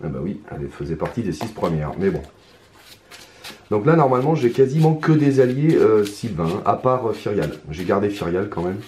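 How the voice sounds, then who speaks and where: A hoarse man talking his way through a solo card game in hesitant, mumbled commentary. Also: on the low side, big pitch swings, moderate pace, a little mellow, a little hiss or hum. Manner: hesitant, mumbling, many restarts, muttering to himself. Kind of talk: casual solo gameplay commentary. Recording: roomy echo, faint ambience.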